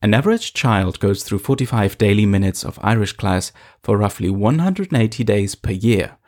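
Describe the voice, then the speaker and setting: Low-voiced male documentary narrator. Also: lively intonation, a little mellow. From eastern Germany. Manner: measured, storytelling delivery. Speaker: reporter and narrator. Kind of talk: scripted narration.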